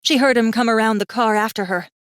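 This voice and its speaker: A woman's voice: a little high, fast talker, clear, resonant tone, no echo, clean studio sound. A woman narrating a fantasy audiobook in a dramatic, scripted style with tense, suspenseful storytelling.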